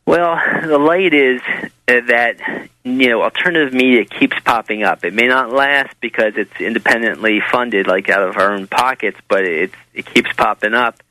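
An American man being interviewed as an author, talking casually in halting, rambling explanations. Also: medium pitch, sharp tone, low-quality recording. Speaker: interview guest, author and documentary maker.

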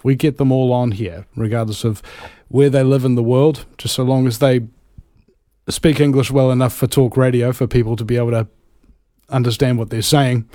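Male talk radio host from New Zealand: casual, rambling, theatrical emphasis, mid-pitched, dry close sound. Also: fairly even intonation, moderate pace, warm tone, no room sound. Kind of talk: casual live talk show monologue.

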